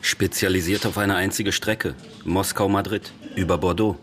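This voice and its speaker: Police investigator, deep voice, clipped, matter-of-fact, radio play, slight background noise. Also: male voice, steady tone, moderate pace, a bit bright. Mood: factual. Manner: factual, clipped.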